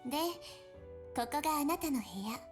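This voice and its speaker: A Japanese woman voicing a bossy, lazy young girl in a visual novel, brisk and commanding. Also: high-pitched, faint music behind, close to an everyday voice. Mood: matter-of-fact, bossy.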